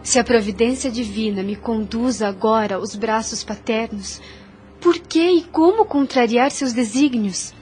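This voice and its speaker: A Brazilian actress playing a gentle, devout, self-sacrificing young daughter in an audio drama, speaking in earnest, formal phrases. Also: female voice, fairly high pitch, unhurried, soft background music, lightly acted. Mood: serene piety. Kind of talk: Brazilian radio drama acting.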